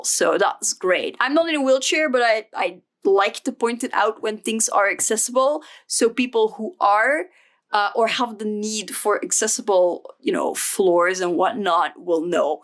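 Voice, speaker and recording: A young woman, her Dutch, chats through a travel journal at her desk at home. Her voice sits a little high, and she rambles along with plenty of ums and uhs. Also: animated, a little slow, a bit bright. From Flemish Belgium. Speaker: vlogger. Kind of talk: casual journal flip-through.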